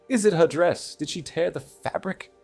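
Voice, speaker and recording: A man's voice: on the high side, normal speed, creaky, darkish tone, faint music behind, pitched above the performer's own voice. A man voicing a proud aristocratic groom in an audiobook, formal in manner and hiding desperation under false composure. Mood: demanding, alarmed.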